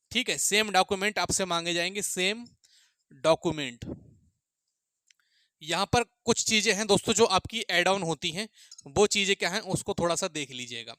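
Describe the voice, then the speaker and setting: A man's voice: high-pitched, sharp tone, dry recording. A man with a North Indian accent giving an explanatory online lecture as a coaching instructor, repeating points and often checking that listeners follow. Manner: repetitive, with frequent check-in phrases for listeners.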